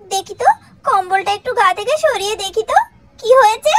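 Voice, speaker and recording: A woman's voice: very high-pitched, a little fast. A woman voicing a frightened little girl who whines and pleads, in a doll-play story on a noisy recording. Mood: worried. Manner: whining, pleading cries. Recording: very noisy, hissy track.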